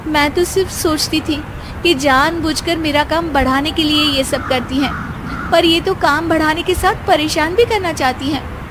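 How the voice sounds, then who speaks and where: Woman playing a high-voiced, fretful young bride. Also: moderate pace, background noise, close to an everyday voice. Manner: meek when answering, fretful when muttering to herself. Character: dutiful, anxious young new daughter-in-law. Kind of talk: acted Hindi cartoon moral story.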